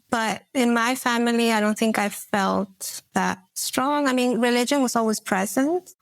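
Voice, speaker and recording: A woman's voice: mid-range voice, no room sound. A woman in her forties from Peru, a podcast guest telling personal stories in an earnest, reflective way with frequent fillers. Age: 40s. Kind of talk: personal storytelling in an interview.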